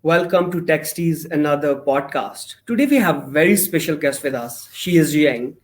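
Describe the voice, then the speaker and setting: A man hosting a podcast interview, asking long, halting questions with many restarts. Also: on the high side. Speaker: podcast host.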